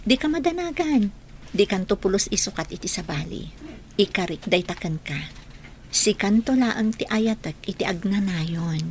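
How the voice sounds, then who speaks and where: A devoted young woman, played by an actress, speaks tenderly in a medium-pitched voice. She is an earnest, reassuring sweetheart in an Ilocano-accented radio drama, with slight noise behind. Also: expressive, normal speed, close to an everyday voice.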